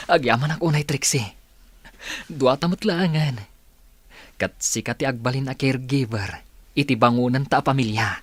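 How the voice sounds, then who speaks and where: Husky-voiced lovestruck male suitor played by an actor. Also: medium pitch, moderate pace, pauses often, no echo, lightly acted. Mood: happy, devoted. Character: lovestruck earnest gentleman suitor, a boss. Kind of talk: Ilocano radio drama acting.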